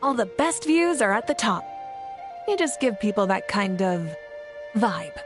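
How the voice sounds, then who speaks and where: A woman's voice: medium pitch, big pitch swings, a little slow, over music. A woman voicing a confident, teasing, inspirational female leader in a video game. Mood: warm, earnest. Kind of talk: video game character acting.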